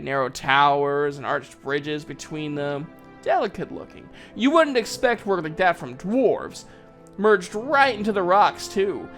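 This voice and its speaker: A man's voice: fairly high pitch, fairly bright tone. A man narrating a fantasy story in the first person, in a folksy, conversational storyteller's manner. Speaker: narrator, voice actor.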